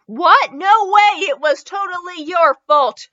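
A woman voicing a loud, brash young ninja boy in a story, exclaiming indignantly. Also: very high-pitched, slow, no room sound, muffled recording, voice pushed up, heavily acted.

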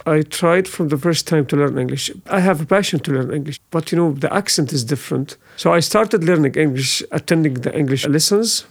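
A man's voice: fairly high pitch, brisk, vocal fry, hardly any pauses, husky. A man whose first language is Arabic, answering an interview in English in an earnest, emphatic way. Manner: emphatic, explanatory.

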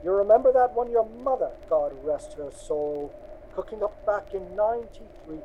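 A man's voice: sits high, lively intonation, moderate pace, muffled recording, noisy background. An actor playing a merry, drunken old farmer father in a radio drama, boisterous and rambling. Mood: jolly, rambling. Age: older.

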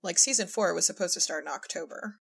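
Lowish, creaky-voiced woman chatting. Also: quick pace, fairly bright tone. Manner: informal, quotes herself and others conversationally. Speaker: audio drama writer-director in conversation. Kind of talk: casual chat, anecdotal storytelling.

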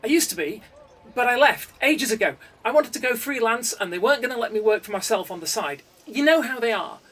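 A man voicing a panicky male coder who pleads loudly in a quavering voice, recorded a bit distant from the mic. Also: extremely high pitch, lively intonation, brisk, pitched above the performer's own voice, heavily acted. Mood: pleading.

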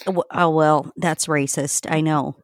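A woman's voice: sits low, fairly even intonation, a little slow, no room sound, studio quality. A woman hosting a casual podcast, chatty and giggly, swearing now and then. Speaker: podcast host.

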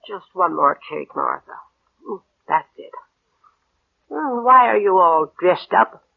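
A greedy, mean old aunt in an old radio play. She needles and demands in a slow, creaky voice. The recording is thin and tinny. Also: fairly low pitch, expressive, full character voice. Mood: greedy, demanding. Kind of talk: 1940s-50s American radio suspense acting.

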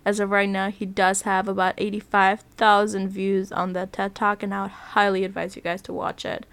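A woman's voice: medium pitch, a bit bright, clean tone, no echo. A woman hosting a podcast interview, talking in an upbeat, chatty way with some fillers, her voice a little off from being sick. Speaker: podcast host.

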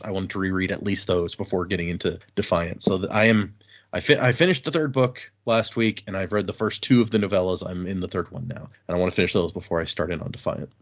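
A man's voice: fairly low pitch, highs cut off. Laid-back man reading listener mail. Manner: relaxed, halting, with restarts and fillers. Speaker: podcast host. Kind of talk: casual podcast chat, reading listener emails aloud.

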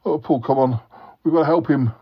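A man's voice: medium pitch, fairly quick, lo-fi sound, background noise, lightly acted. A man playing an anxious, mild-mannered fellow in a comic audio drama, stammering and dithering.

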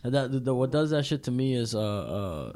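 A man's voice: medium pitch, very animated, moderate pace, dark tone. A man hosting a podcast in casual chat, swearing freely and peppering his talk with bro and dude. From Dominican background. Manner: slangy, profane, says bro and dude often. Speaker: podcast host.

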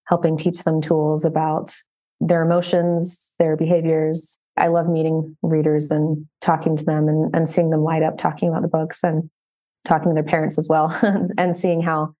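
A woman's voice: low-pitched, completely flat delivery, normal speed, dark tone. A woman chatting as an interview guest, slightly hesitant and giggly in manner. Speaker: podcast guest, children's book co-author. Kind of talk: casual interview chat.

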